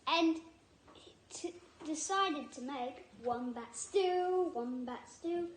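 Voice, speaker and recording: A woman reading a picture book aloud in a halting, deliberate way, stopping to add personal asides. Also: sits high. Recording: echoey room with faint noise.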